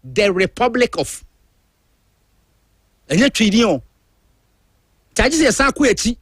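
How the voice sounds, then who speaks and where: A man from Ghana with a Ghanaian accent, hosting a radio drive show in a studio and talking in an emphatic, animated, opinionated way while switching between languages. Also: high-pitched, measured pace, slightly rough, no echo. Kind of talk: opinionated talk-radio monologue.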